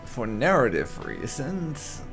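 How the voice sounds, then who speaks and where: A man's voice: medium pitch, big pitch swings, slow pace, a bit bright. A man hosting a gaming video, wryly speaking his character's replies in a light roleplay commentary. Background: faint game music.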